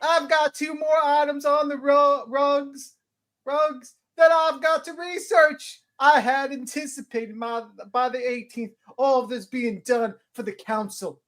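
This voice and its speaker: Woman performing a high-voiced female litigant, indignant, flustered, halting, repetitive protests, dramatic courtroom reading. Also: fairly even intonation, fairly bright tone. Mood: indignant, pleading.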